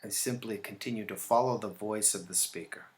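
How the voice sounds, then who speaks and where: A man teaching yoga nidra, leading a guided relaxation in a calm, instructional manner with deliberate pauses. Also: fairly low pitch.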